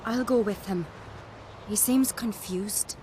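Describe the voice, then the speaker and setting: An actress voicing a bold, confident young noblewoman who talks briskly and teasingly. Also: mid-range voice, background noise, close to an everyday voice. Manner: brisk, teasing and sure of herself.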